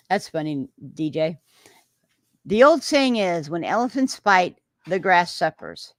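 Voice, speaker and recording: A Texan woman chats her way through a livestream, pausing often on filler sounds and restarting her sentences. Her voice sits somewhat low and unhurried, recorded close and dry with no room sound. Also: no room sound. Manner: rambling, many "um"s and restarts. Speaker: livestream host reading viewer comments. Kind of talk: casual livestream chat.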